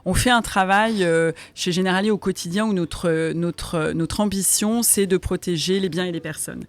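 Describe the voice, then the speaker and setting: A woman's voice: on the low side, moderate pace. A woman answering as an interview guest, in an articulate, explanatory way. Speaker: interview guest, foundation president.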